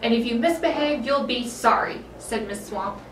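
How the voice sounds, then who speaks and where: Woman, 40s, expressive storybook reader, slightly high voice, roomy sound. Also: normal speed. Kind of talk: expressive picture-book reading. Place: school office indoors. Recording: roomy, distant mic, background noise.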